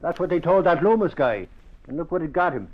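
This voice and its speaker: A man's voice: on the high side, animated, fairly quick. An actor playing a blustery, superstitious newspaper man, talkative and folksy, in old-time radio comedy style. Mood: blustery, uneasy. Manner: folksy, blustery, muddles his words. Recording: muffled old radio recording.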